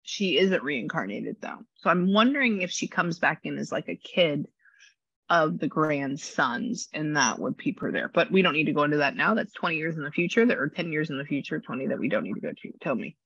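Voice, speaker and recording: A woman with a low voice and a slight rasp, chatting through a spirit reading and passing on messages. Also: lively intonation, a little fast, a bit bright, no echo, muffled recording. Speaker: psychic medium.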